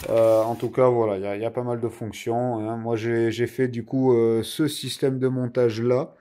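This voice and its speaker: Male reviewer, soft dark timbre, informal and self-deprecating, chatting through a hands-on demo with the odd mild swear. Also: mid-range voice, level tone, normal speed. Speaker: product reviewer. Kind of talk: casual hands-on review chat.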